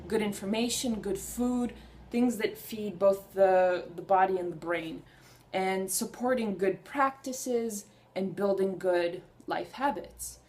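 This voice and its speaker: A woman's voice: medium pitch, a little mellow. Young woman, vlogger, talking straight to camera. Earnest and eager, loose unscripted delivery that wanders and restarts, mid-range voice. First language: Hungarian. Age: young adult. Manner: earnest, enthusiastic, rambling with restarts. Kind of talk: casual personal vlog.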